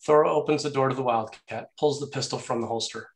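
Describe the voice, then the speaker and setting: A man with a medium-pitched voice narrates a screenplay, reading out action and scene headings. He is recorded in an echoey room. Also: fast.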